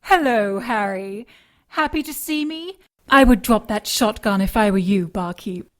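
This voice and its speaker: A woman's voice: medium pitch, a little slow, fairly bright tone, natural voice. An actress playing a cool, dangerous young gunwoman with a sardonic, mock-polite manner in an audio drama. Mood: cool, threatening.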